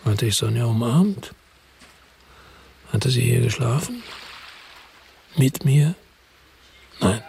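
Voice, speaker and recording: A man's voice: mid-range voice, expressive, takes it slowly, gravelly, pauses often, dark tone, slight background noise. An actor playing a world-weary middle-aged ex-cop who narrates his story in the first person in a radio drama, laconic and reflective.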